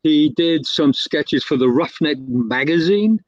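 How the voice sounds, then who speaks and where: A man's voice: a little high, expressive, slow, dry recording, faint music behind. Guy rambling through an old story, lots of um and uh, kinda dull lo-fi dry sound. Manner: rambling, with many fillers and self-corrections. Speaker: guest storyteller. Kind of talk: casual spoken anecdote.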